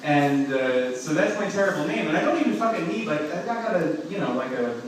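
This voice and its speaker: A man's voice: on the high side, lively intonation, brisk, crowd noise. A young man doing a stand-up comedy set in a club, rambling and self-mocking, full of filler words and swearing. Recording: echoey room recorded from a distance with noise.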